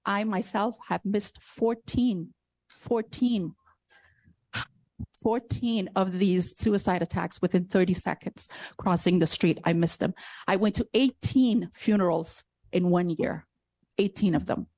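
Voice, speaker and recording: A woman from Afghanistan speaks at a council podium, pleading and emotional, stumbling and restarting her sentences. Her voice sits at an ordinary mid pitch and is recorded dry and close. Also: a little slow, mellow, no echo, poor audio. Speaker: public commenter. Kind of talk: impassioned public comment. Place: council chamber.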